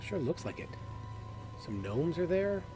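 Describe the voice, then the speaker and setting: A man's voice: fairly high pitch, big pitch swings, measured pace, over music. A man hosting a gaming video with casual, chatty commentary, thinking aloud and enthusiastic about the game. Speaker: gaming video creator and host.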